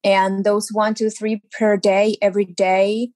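Woman guest, lively and chatty. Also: medium pitch, a little slow, no echo. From Ukraine. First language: Ukrainian. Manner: lively, chatty, laughs easily. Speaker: guest, actress. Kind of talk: casual interview talk.

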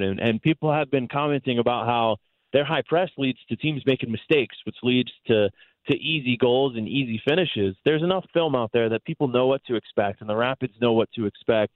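A man's voice: mid-range voice, no echo, phone-quality sound. A man speaking as a guest sports announcer, giving articulate, conversational analysis in long explanatory runs. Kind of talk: conversational soccer analysis on a sports talk show.

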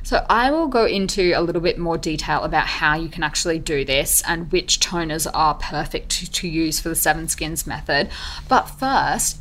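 A woman's voice: on the low side, fairly bright tone. Upbeat woman hosting a beauty podcast. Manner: upbeat, explanatory. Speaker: podcast host. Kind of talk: podcast monologue.

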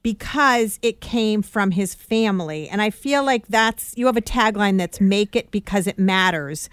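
A woman's voice: mid-range voice, a little slow, a bit bright, smooth, no room sound, studio quality. A woman hosting a radio interview programme, introducing and questioning in a presenter's style. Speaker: radio show host.

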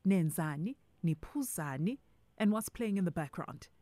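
A woman's voice: on the low side, expressive, moderate pace, no room sound. Teasing South African radio host, woman. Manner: warm, teasing, mixes English and Zulu. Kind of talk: casual radio chat. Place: radio studio.

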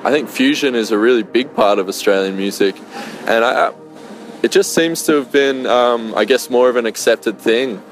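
A male musician talking reflectively in an interview, often pausing to find his words. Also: mid-range voice, soft background music. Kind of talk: interview answer.

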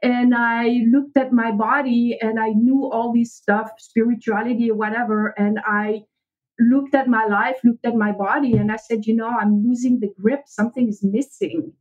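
A woman's voice: a little high, steady tone, moderate pace, phone-quality sound. A woman from French-speaking Switzerland, a native French speaker with a French accent, telling her story earnestly as a podcast guest.

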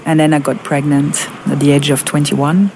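A woman in her fifties from Monaco with a French accent, telling her personal story in an interview, halting with fillers and restarts. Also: low-pitched, little pitch movement, darkish tone, slight background noise. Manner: halting, many fillers and restarts, laughs often. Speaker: guest.

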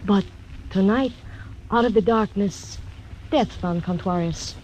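A woman's voice: mid-range voice, a little slow, a little mellow, slight background noise, natural voice, rough recording. Actress as a mysterious Mexican woman, Spanish accent, fatalistic and teasing, old-time radio. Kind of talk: 1940s radio acting.

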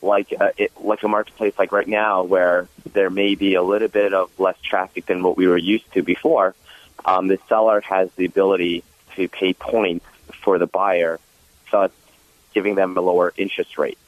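A man with a very low voice explains mortgage matters steadily and agreeably. He comes through a tinny phone line. The sound is dry, with no room around it. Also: level tone, normal speed, no room sound. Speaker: mortgage expert guest. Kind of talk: explaining on call-in radio.

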